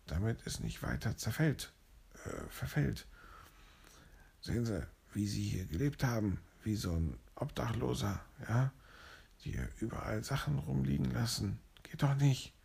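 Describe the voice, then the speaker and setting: A man voicing a bossy male parrot police officer who scolds officiously, in a gravelly husky voice. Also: medium pitch, animated, moderate pace, a little mellow, heavily acted. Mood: scolding.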